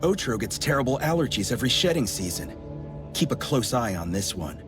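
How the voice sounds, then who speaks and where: An actor playing a brusque, guarded, grumpy man with a soft spot in an anime-style game, speaking curtly. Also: medium pitch, very animated, fairly quick, over music, lightly acted. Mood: gruffly caring. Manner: curt, blunt.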